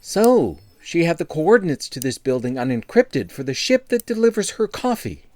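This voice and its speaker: A woman's voice: low voice, big pitch swings, moderate pace, mellow, dry recording. A woman playing a businesslike, probing investigator questioning staff in a comic sci-fi audio drama.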